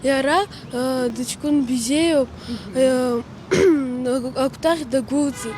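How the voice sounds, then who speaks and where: A young child interviewee answering haltingly, with many pauses. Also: female voice, high-pitched, darkish tone, a little hiss or hum. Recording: music behind the voice.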